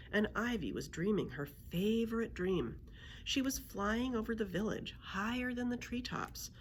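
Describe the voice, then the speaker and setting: Female library storyteller for children's read-aloud: lower-pitched, very animated, slight background noise. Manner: expressive storytelling.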